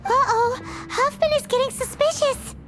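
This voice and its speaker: Loud music, super high squeaky little fairy sidekick, excitable anime game voice. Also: female voice, moderate pace. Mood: panicked. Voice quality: squeaky, childlike. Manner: exclamatory, speaks of herself in third person. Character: tiny excitable floating fairy girl companion. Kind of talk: anime fantasy game voice acting.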